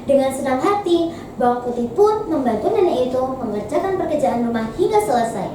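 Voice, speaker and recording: A woman's voice: high voice, expressive, brisk, mellow. A young woman telling a folktale expressively, as a student storyteller. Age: teens. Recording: very echoey, distant mic, noisy.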